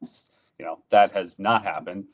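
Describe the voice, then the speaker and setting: Opinionated low-voiced sports podcast man. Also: fairly even intonation, moderate pace. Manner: opinionated, lots of fillers and restarts. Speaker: podcast co-host. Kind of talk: casual sports chat. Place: home room, indoors.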